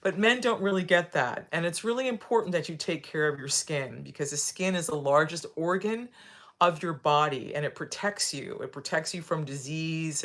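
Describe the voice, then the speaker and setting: A woman's voice: low voice, fairly bright tone. A sixty-year-old woman hosting a live video, talking casually as she demonstrates, with frequent tag questions and repeated phrases. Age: 60s. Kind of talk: casual instructional talk.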